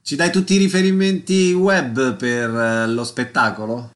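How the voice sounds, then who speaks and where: Man, radio host, medium pitch, interview style, concise prompts, formal announcing. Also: fairly even intonation, unhurried.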